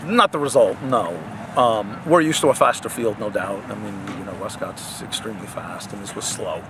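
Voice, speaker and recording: A man's voice: fairly low pitch, normal speed, noisy background. An older man, a head soccer coach, answering post-game interview questions on a field at night, with many fillers and restarts.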